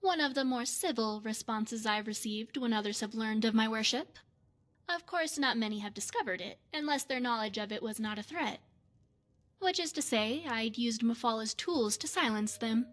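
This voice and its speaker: A woman voicing a poised, secretive retired spy and mage in a fantasy game, speaking in a measured, formal, coy way. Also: a little high, a bit bright, lightly acted. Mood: devout, measured. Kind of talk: scripted fantasy game character dialogue.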